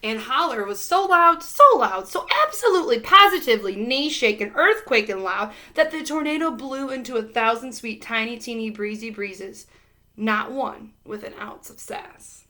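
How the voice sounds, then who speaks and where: A woman narrating a children's storybook aloud in an expressive read-aloud style. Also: a little high, moderate pace. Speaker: storybook reader.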